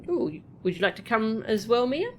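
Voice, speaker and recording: A woman's voice: on the high side, very animated, moderate pace, a little hiss or hum. A woman doing casual live gameplay commentary in a chatty, playful way, often muttering to herself and her chat. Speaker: game streamer.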